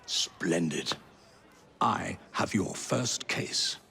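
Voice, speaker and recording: Slow, theatrical Victorian gentleman. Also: deep voice, lively intonation, creaky, slight background noise, exaggerated voice. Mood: grand, persuasive. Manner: measured, dramatic pauses, florid wording. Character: genteel, theatrical Victorian gentleman, a self-declared skeptical ghost investigator. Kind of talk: video game cutscene acting.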